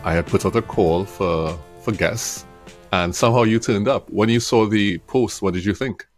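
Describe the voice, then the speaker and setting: A man hosting a podcast, calmly asking his guest questions into a studio mic. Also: on the low side, moderate pace, soft background music. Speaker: podcast host. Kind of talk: interviewing. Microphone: studio mic and headphones.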